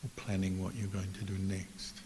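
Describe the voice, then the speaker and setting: A man teaching guided meditation as a Buddhist monk, calm and measured, with long pauses between phrases. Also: deep voice. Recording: echoey hall reverb with clear hiss.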